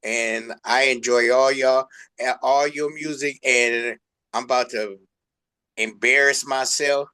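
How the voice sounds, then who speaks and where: A man's voice: mid-range voice, fairly even intonation, slow pace, bright, forceful tone. A man hosting a music show, chatting casually in an informal, slangy, effusive way. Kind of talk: casual interview chat.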